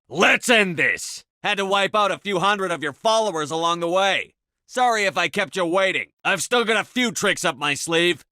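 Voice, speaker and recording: An actor playing a resolute, no-nonsense military commander in a science fiction video game, with firm, clipped, commanding delivery. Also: male voice, high-pitched, pushed and bright, dry recording, clean studio sound, exaggerated voice. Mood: intense, confrontational. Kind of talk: video game voice acting, scripted dialogue lines.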